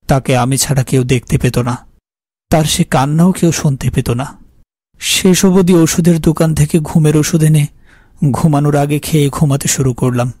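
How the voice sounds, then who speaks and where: A man's voice: fairly high pitch, a little mellow, breathy edge, no room sound, studio quality. A man acting as a haunted, guilt-ridden young man, narrating his horror story in a brooding, fearful way. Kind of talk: scripted dramatic horror narration.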